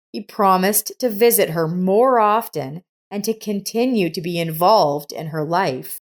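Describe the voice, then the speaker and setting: A woman reading a scripted story as narrator, in a measured, deliberate manner. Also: fairly low pitch.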